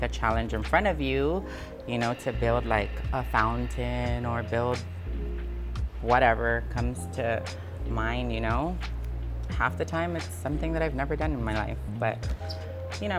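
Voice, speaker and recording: A woman from Guam talking casually and reflectively in an interview, candid and conversational, with emphasis on key words. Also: rumbling low. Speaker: interviewee, freelance designer and builder. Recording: background music under the voice. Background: music.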